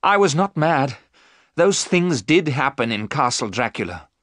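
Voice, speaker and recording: A man's voice: mid-range voice, big pitch swings, a little slow, fairly bright tone, no echo, studio quality, voice pushed up. A man voicing a terrified husband in a gothic story, exclaiming with urgency. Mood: alarmed, insistent. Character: terrified husband crying out in alarm.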